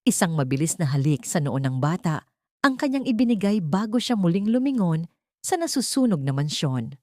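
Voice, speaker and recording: A Filipino woman narrates a dramatic audiobook in a low-pitched voice. Her delivery is scripted storytelling. The recording is dry, with very little room sound. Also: very animated, moderate pace, dark tone, no echo.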